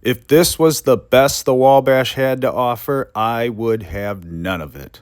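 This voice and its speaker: A man's voice: medium pitch, unhurried, darkish tone, smooth, no echo, clean studio sound, close to an everyday voice. An American man doing a dramatic, projected reading as a forlorn, indignant young railroad telegraph employee of the 1890s. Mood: wistful, indignant. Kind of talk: dramatic reading of an old letter.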